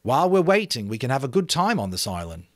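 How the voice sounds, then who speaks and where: Audiobook schoolboy leader, played by a man: cheerful, confident, pitched up, emphatic and lively. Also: quick pace, no echo, clean studio sound, lightly acted. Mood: upbeat, rallying. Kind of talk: dramatic audiobook character voice.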